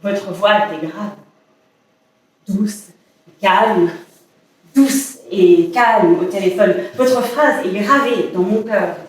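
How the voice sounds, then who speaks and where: An actress playing a lovesick, obsessive woman, delivering a poetic and halting theatrical monologue in a staged reading. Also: medium pitch, lightly acted. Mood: nostalgic, intense. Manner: dramatic, halting, incantatory delivery with repetitions. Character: lovesick woman consumed by dangerous obsession, anguished, insistent. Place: staged reading in a reverberant indoor space. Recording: very echoey room, far from mic.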